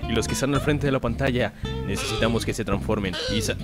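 A man's voice: mid-range voice, fast, loud music behind the voice, lightly acted. A man voicing a solemn announcer who summons heroes in a game's mock emergency broadcast. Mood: urgent.

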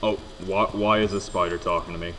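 A man's voice: low-pitched, fairly even intonation, brisk, a bit bright, slight background noise. A young man hosting a casual let's play, joking, swearing and stumbling over his words as he plays. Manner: joking, swearing, stammering restarts. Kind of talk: casual gameplay commentary. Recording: echoey room with long reverb.

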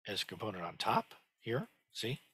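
A man in his sixties teaching a lesson from his home study over a headset, explaining slowly with many fillers and restarts. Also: lowish voice, sing-song, a bit bright, slightly rough, no echo, clean studio sound. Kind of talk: screen-share lesson on Chinese characters.

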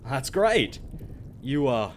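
A man playing a loyal, affable, slightly bumbling pirate first mate in an audio roleplay, speaking haltingly. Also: mid-range voice, big pitch swings, slight background noise. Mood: awkward, cheerful. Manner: hesitant, halting with ums. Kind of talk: roleplay acting.

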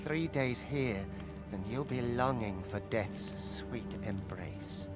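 An actor playing a sneering, cruel overseer in a fantasy game, with taunting, theatrical, archaic speech. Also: male voice, medium pitch, takes it slowly, music in the background, close to an everyday voice. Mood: menacing, mocking. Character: sneering, cruel male overseer of pawn laborers.

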